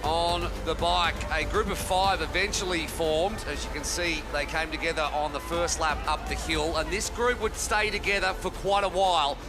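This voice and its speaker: Male sports commentator, fairly high voice, race recap, music behind. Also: fairly even intonation, moderate pace, few pauses.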